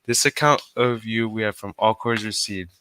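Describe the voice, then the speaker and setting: A man playing a formal, mysterious gentleman whose letter is heard in a radio drama, speaking in a stiff, measured way. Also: on the low side, clear, resonant tone. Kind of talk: radio drama acting, reading a letter aloud.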